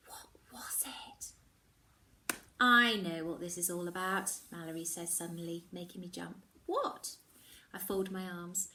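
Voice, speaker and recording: A woman reading a children's novel aloud like a teacher, with expressive, measured narration that dips into hushed tones. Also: medium pitch, recorded from a distance, a little hiss or hum.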